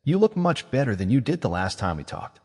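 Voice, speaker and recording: A man's voice: mid-range voice, very animated, brisk, in a large hall. A man voicing a guarded, blunt young male mage who speaks dryly and confrontationally. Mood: probing.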